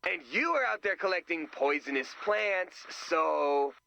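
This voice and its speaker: A man voicing an excitable, naive, nerdy sidekick in a video game, earnest, chatty and goofy. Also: on the high side, measured pace, creaky, highs cut off, slight background noise, exaggerated voice. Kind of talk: video game character voice lines.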